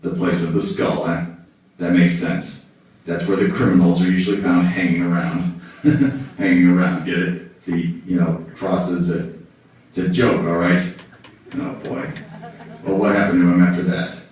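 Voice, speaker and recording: A hard-boiled private eye puppet, acted by a man, with a very low voice and gruff, wry, slangy patter in a noir parody. He sounds distant, in a heavily echoing room. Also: fairly even intonation, unhurried, long pauses, a little mellow. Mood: wry, joking. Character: wisecracking, down-on-his-luck hard-boiled male private detective puppet. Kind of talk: film-noir detective parody in a puppet show. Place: indoor hall, behind a puppet stage. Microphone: far camera in the audience. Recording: echoey room, distant audience camera.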